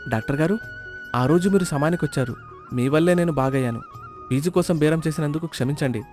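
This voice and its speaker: A man's voice: a little high, fast, darkish tone, soft background music, natural voice. A man voicing a stingy middle-aged village man in a storybook tale, whiny and always counting money. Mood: rueful. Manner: whiny, counts every rupee. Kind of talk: storybook voice acting.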